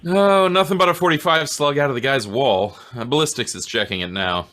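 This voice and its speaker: A man playing a friendly, somewhat awkward homicide inspector in old-time radio style, with a hesitant, halting manner. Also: mid-range voice, a bit bright, natural voice. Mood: probing. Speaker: actor in an old-time radio detective play. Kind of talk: 1940s radio acting.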